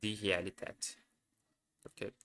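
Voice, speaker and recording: Slow, bright-voiced man thinking aloud. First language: Portuguese. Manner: hesitant, self-correcting, muses aloud. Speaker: language learner streaming his study. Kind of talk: thinking aloud while studying.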